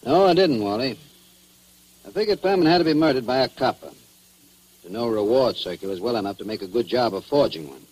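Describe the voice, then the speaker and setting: Shrewd police chief, lively range, rough husky tone, knowing interrogator, 1940s radio crime drama. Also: male voice, medium pitch, brisk, low-quality recording. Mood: knowing, stern.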